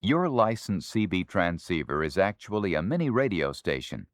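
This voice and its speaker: An American man reading scripted instructional narration in a formal, measured, authoritative manner. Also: low voice, sing-song, no echo. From United States. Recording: dry 1970s spoken-word LP.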